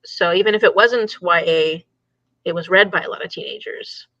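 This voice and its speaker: Bright-voiced woman hosting, slightly dull audio. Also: lowish voice, normal speed, no room sound. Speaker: book discussion host. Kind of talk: casual chat.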